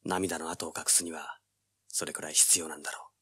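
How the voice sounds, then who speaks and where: A man's voice: rumbling low, little pitch movement, fairly quick, sharp tone, husky, no echo, clean studio sound, full character voice. An actor playing a cocky, cool-headed young treasure hunter who narrates his own hard-boiled adventure in an audio drama. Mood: wry, confident. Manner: hard-boiled, self-assured.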